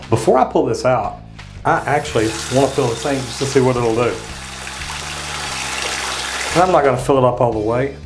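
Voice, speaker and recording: A plainspoken man in his fifties with a drawl talks through plumbing hacks in a relaxed, folksy way. He speaks as a working plumber, practical and matter-of-fact. Light music plays faintly behind him. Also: medium pitch. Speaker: plumber reacting to home hacks. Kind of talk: casual reaction and demonstration. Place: studio set and a small restroom. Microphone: boom mic on desk and lapel mic.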